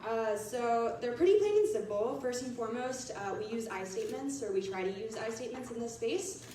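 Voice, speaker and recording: A woman with a lilt sets out ground rules for a gathering, loose and casual, full of "um"s. She is heard from across a large room with strong echo. Faint background noise runs under her voice. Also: medium pitch. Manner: casual, filler-heavy. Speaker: advocacy organization director. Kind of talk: laying out community agreements. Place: large echoey hall. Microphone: distant room mic. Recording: echoey hall, voice far off.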